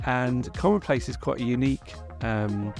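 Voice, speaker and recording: A man's voice: mid-range voice, moderate pace, creaky, over music. A man hosting an interview, introducing his guest in a hesitant, appreciative way. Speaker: interview host. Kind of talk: conversational interview.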